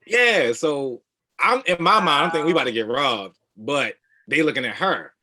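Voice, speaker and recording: Blunt, bright-voiced man in his late thirties. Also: a little high, animated, moderate pace. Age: late 30s. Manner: blunt, slangy, laid-back storytelling. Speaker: podcast guest. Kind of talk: casual chat.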